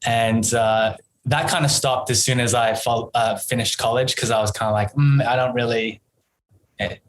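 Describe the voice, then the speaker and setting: A man's voice: mid-range voice, moderate pace. Rambling Australian man in his thirties. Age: 30s. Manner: relaxed, rambling, full of ums and you-knows. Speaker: guest. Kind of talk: casual interview chat.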